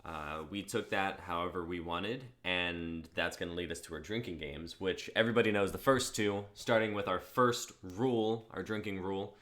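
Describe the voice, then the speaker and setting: A man's voice: low voice, normal speed, few pauses, a bit bright. A man in his twenties hosting a livestream, casually introducing the show to viewers. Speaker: host of a livestream reading show.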